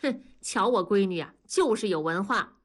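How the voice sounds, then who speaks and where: A woman's voice: medium pitch, lively intonation, normal speed, lightly acted. A woman voicing a proud older wife in a storybook reading, sounding smug and earnest.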